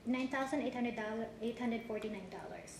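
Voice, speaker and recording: A woman from the Philippines with a Filipino accent, whose first language is Tagalog, giving halting courtroom testimony with many restarts. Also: fairly high pitch. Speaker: witness in a divorce hearing. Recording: echoey courtroom, background noise.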